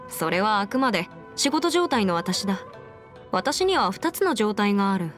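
A woman's voice: mid-range voice, very animated, moderate pace, fairly bright tone, faint music behind. A woman voicing a confident, bookish young female legal expert in a video game, speaking in a self-assured, formal, lecturing way. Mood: composed, self-assured. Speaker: video game character voice actor. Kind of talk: scripted anime-style game character monologue.

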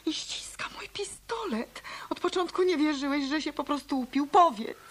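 An actress playing an anxious, world-weary woman in a Polish radio detective play, with a husky, breathy voice and a halting, hushed delivery. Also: sits high, expressive. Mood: shaken, hushed.

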